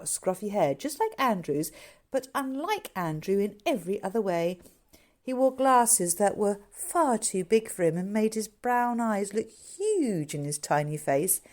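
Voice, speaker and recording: A woman's voice: medium pitch, darkish tone. A woman reading her own novel aloud as scripted audiobook narration.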